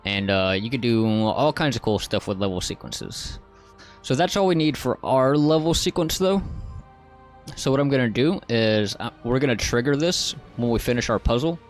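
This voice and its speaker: A man narrating a software tutorial in a casual, informal, step-by-step way. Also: mid-range voice, normal speed, faint music behind. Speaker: tutorial host.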